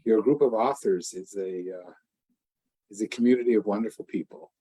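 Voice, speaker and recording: A man's voice: lowish voice, brisk, warm tone, breathy edge, low-quality recording. An older man interviewing a guest in a casual, effusive way, stumbling through many restarts. English is his first language.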